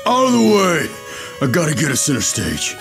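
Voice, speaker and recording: A man's voice: a little high, wildly swooping pitch, vocal fry, full character voice. A man voicing a towering, arrogant monster who gloats over his fame. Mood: bossy. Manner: gloating, slow, swooping. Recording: loud music underneath.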